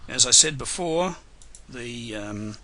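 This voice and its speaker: A man giving a live software tutorial webinar, hoarse and losing his voice, talking unhurriedly with many fillers and restarts. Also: medium pitch, lively intonation, takes it slowly. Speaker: software trainer.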